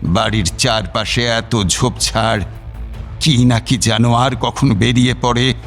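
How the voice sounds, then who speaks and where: An actor playing a sly village schoolmaster in an audio drama, unhurried and falsely courteous, veiling threats in politeness. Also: male voice, mid-range voice, steady tone, fairly bright tone, soft background music. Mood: feigned innocence.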